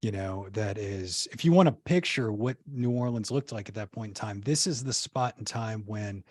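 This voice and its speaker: A man's voice: on the low side, normal speed, darkish tone, no echo. Man musing in a dry, close voice. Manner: rambling, many restarts and fillers. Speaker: podcast guest. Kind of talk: casual conversational storytelling.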